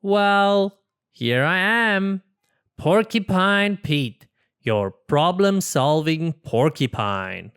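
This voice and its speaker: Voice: medium pitch, animated, very slow, a bit bright, clear, resonant tone, heavily acted. A storybook reader voicing a cheerful, silly, overconfident male porcupine with a breezy, theatrical manner. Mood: confident, upbeat. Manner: breezy, theatrical, self-assured delivery.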